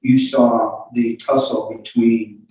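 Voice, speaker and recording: Male courtroom questioner, halting but insistent, heard distantly in a very reverberant room. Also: medium pitch, flat delivery, dark tone. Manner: halting, repeats opening words, insistent. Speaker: lawyer questioning a witness. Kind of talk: courtroom cross-examination. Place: courtroom hearing. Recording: echoey courtroom, distant microphone.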